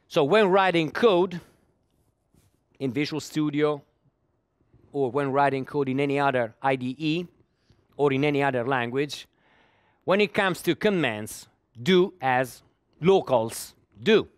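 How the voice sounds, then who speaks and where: A middle-aged man speaks English at a developer conference in a fairly high voice. He often stammers over repeated words as he searches for the next one. The sound is dry and close. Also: unhurried, pauses often, no echo. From Italy. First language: Italian. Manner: repeats words while searching for them, with small laughs. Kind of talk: technical conference talk.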